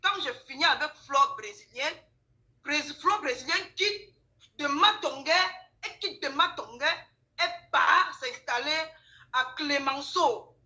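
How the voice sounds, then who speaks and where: A woman with a high-pitched, bright voice and a Cameroonian French accent tells a story in casual gossip style. She is emphatic, often repeating her phrases and voicing bits of dialogue. The sound is roomy and echoing. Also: unhurried. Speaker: guest telling her account.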